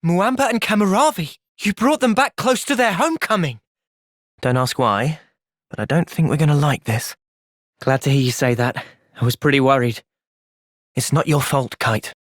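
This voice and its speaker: A man playing an earnest, gentle, resolute young soldier in video game cutscenes. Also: on the high side, lively intonation, normal speed, no echo, studio quality, close to an everyday voice. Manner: earnest, thoughtful. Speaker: voice actor.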